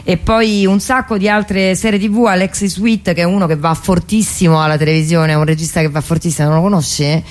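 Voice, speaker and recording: A woman's voice: fairly low pitch, fairly even intonation, brisk, few pauses. A woman chatting informally as a radio host, speaking into a studio microphone. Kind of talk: casual radio chat.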